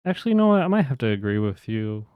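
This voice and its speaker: Excited guy raving about a record, cussing a lot, smooth clean voice, dry sound, voice wearing out. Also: lowish voice, rapid-fire, no room sound, narrow, tinny audio. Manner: enthusiastic, swearing, laughing often. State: losing his voice. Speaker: podcast co-host. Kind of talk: casual music review chat.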